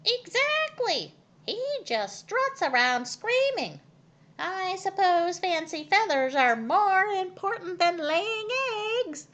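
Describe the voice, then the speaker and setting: A woman's voice: extremely high pitch, a bit bright, lo-fi sound, voice pushed up, exaggerated voice. A woman voicing vain, indignant farm hens from a children's storybook in a theatrical, exclamatory way. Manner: exclamatory, gushing, theatrical complaining. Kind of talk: children's storybook character reading.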